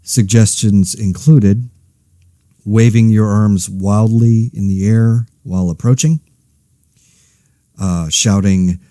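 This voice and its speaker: A man giving a casual, rambling mock news commentary in a wry, deadpan, self-interrupting way, as the host of a satirical podcast. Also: lowish voice, slow, pauses often, dry recording, clean studio sound.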